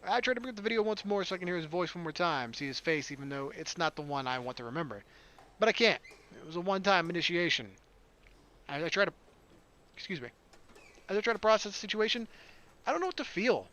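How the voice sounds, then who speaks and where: A man's voice: high-pitched, lively intonation, fast talker, long pauses, bright, forceful tone. A man hosting a gaming video, reading a visual novel aloud expressively and dropping in casual asides.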